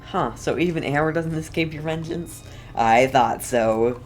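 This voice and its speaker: A woman's voice: low-pitched, measured pace, faint music behind. A woman streaming a game, giving casual, understated offhand reactions in brief remarks. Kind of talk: casual let's-play reactions.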